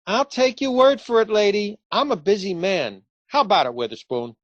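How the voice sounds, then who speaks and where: An actor playing a brusque, impatient police lieutenant, gruff and incredulous, in a radio theatre comedy. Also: male voice, high-pitched, moderate pace, no echo, dull audio, natural voice.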